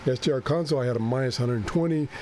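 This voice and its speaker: A man who is losing his voice and keeps clearing his throat talks through a radio setup, thinking aloud and correcting himself as he goes. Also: medium pitch, very fast, a little mellow, a little hiss or hum. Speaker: ham radio video host. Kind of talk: unscripted screen-share walkthrough.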